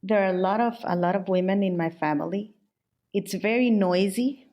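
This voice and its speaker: A woman's voice: mid-range voice, moderate pace, warm tone. Candid middle-aged woman from El Salvador. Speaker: guest, furniture and interior designer. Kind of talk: conversational interview answers.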